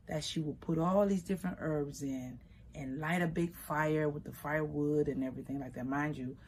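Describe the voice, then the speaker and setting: A middle-aged woman from Liberia telling stories about her grandmother in a relaxed, conversational way. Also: sits low, slight background noise. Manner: relaxed, conversational, shifting pace, Liberian English phrasing. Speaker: vegan food business owner. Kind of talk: personal storytelling.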